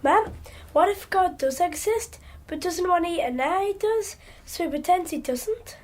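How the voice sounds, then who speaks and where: A woman's voice: extremely high pitch, lively intonation, normal speed. A woman playing an earnest, inquisitive little Geordie boy in a radio drama, speaking in a naive, wide-eyed way. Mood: curious, insistent. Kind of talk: radio drama monologue and dialogue.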